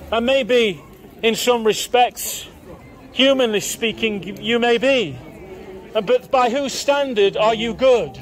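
A man preaching in the open air on a busy town market street, firing repeated questions at passers-by. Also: very high-pitched. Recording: outdoor street with faint crowd.

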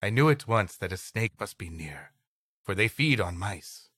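A man with a fairly low voice that swings widely as he reads an old adventure tale. His tone is bright and forward, recorded dry and close. He tells it expressively and breaks off for playful podcast banter. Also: moderate pace, husky, dry recording. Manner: expressive storytelling, playful asides. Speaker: podcast co-host.

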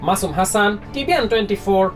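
A woman's voice: medium pitch, rapid-fire, recorded from a distance, over loud music. A woman reporter delivering scripted news narration.

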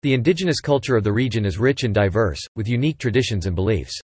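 A man reading English example sentences aloud for listening practice, in an even, clipped delivery. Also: on the low side, fast, slightly rough, no echo.

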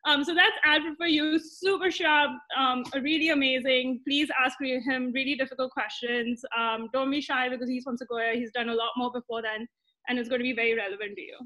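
Bright, high-voiced woman introducing speakers. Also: steady tone, fairly quick. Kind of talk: casual spoken introduction. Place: video call. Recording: dull, band-limited video call audio.

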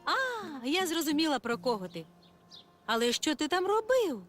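A woman's voice: high-pitched, sing-song, moderate pace. A woman voicing friendly, caring female characters in a children's cartoon, with sing-song, encouraging talk to a small child. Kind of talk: children's cartoon dub acting. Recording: music behind the voice.

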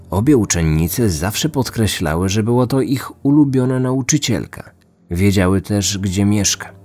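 A man delivers scripted true-crime narration as a podcast host. His voice is fairly low, with music playing underneath. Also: a little mellow.

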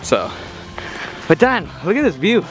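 A man's voice: high voice, very animated, a little slow, over music, low-quality recording. A young man narrating a casual hiking vlog outdoors on a handheld camera, talking in a laid-back, slangy, rambling way. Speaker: vlogger. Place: outdoor hillside trail.

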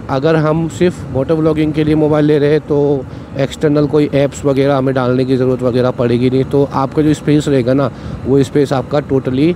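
A young man chats and explains as he rides a motorbike through town, his voice sitting in a middling register. Steady traffic and road rumble run clearly under his words. He speaks into a cheap mic worn on his body. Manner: chatty, explanatory, repeats "ठीक है". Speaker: moto vlogger. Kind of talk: casual vlog talk while riding. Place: riding a motorcycle on city roads outdoors. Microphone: cheap wired external mic on rider. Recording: traffic noise from riding outdoors.

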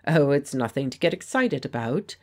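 A woman reading the part of a pleasant, confident gentleman painter who speaks with quiet authority. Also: very deep voice, sing-song, moderate pace, full character voice. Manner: pleasant, firm, persuasive. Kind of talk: audiobook character voice.

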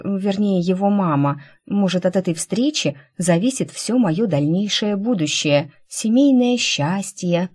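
Casting: bubbly young female skater as a woman narrator plays her, persuasive, emotional, lively swooping intonation, audiobook. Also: mid-range voice, breathy edge, voice pushed up. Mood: emotional pleading. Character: bubbly, pleading young twin sister, a figure skater.

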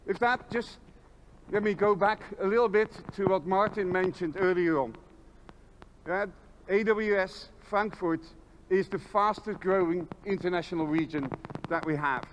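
A man's voice: sits high, a little slow, lo-fi sound, a little hiss or hum. A middle-aged man speaking as an executive presenter in a conference keynote on a large indoor stage.

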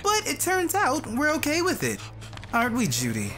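A man's voice: very high voice, huge pitch swings, normal speed, pushed and bright, full character voice. An actor playing a menacing, unhinged young man in an audio drama, taunting and mock-casual. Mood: taunting menace. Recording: music behind the voice.

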